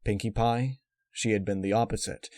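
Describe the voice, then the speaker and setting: A man narrating scripted dark fan fiction in a measured, dramatic storytelling manner. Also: lowish voice, fairly even intonation, dry recording, studio quality.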